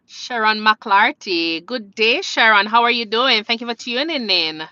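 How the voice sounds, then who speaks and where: A woman's voice: on the high side, expressive, unhurried, bright, forceful tone, dry recording, dull audio. A woman with a Jamaican accent hosting a casual live question-and-answer stream, chatting conversationally and addressing viewers directly. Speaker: live stream host, nurse.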